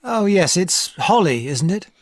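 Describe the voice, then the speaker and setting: An actress playing a friendly female university tutor who speaks in a brisk, businesslike way. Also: on the low side, sing-song, dry recording, clean studio sound.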